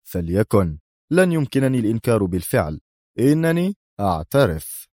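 A man's voice: low-pitched, big pitch swings, normal speed, darkish tone, dry recording. Guy doing a smug traitor general in an audiobook, defiant and sure of himself, dry close sound. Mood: calm, confident. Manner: self-assured, taunting. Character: confident, defiant air force commander turned traitor.

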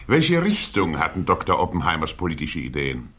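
Probing radio drama questioner, raspy man, swingy intonation, formal. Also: very deep voice, wildly swooping pitch, normal speed, a bit bright, heavily acted. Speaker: hearing questioner. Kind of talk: German radio play acting.

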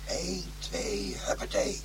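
A man's voice: lowish voice, very animated, takes it slowly. An actor playing a brash, impatient detective who needles his partner in a naturalistic radio drama. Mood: impatient, goading. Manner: blunt, needling, colloquial. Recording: noisy radio drama recording.

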